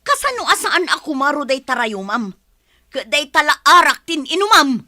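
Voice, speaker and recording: High-pitched, forceful farm wife and mother, weary and easily riled, Philippine radio drama. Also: sing-song, moderate pace, no room sound, clean studio sound, exaggerated voice. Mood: angry.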